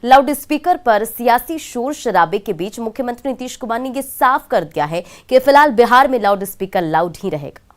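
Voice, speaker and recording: A woman's voice: a little high, animated, normal speed, hardly any pauses. A woman delivering scripted news narration as a voice-over.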